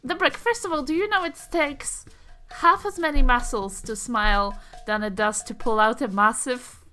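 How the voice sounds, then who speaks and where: A woman voicing a kindly, fussy old granny in a tabletop game, halting and gently preachy. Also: fairly high pitch, faint music behind. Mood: politely preachy. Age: elderly figure. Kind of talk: improvised tabletop game roleplay.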